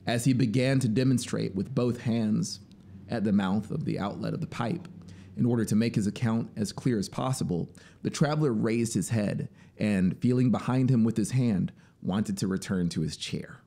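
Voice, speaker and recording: A man in his thirties reading a story as dramatic, deliberate, expressive audiobook narration, close on the mic. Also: lowish voice, vocal fry. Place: small home music studio, indoors. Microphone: broadcast mic on boom arm, close. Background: ambient synth soundscape noise.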